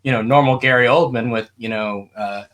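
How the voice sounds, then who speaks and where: A man with a bright voice and middling pitch talks casually on a film panel. He speaks informally, like a host in easy conversation with fellow fans. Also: brisk. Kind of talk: casual chat about films.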